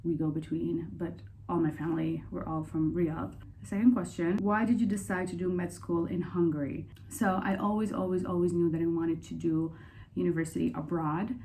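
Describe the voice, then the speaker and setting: A young woman from Saudi Arabia talking casually and candidly in a personal Q&A vlog, chatty and quick to laugh. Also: fairly low pitch, flat delivery, mellow, slight background noise. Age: young adult. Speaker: vlogger.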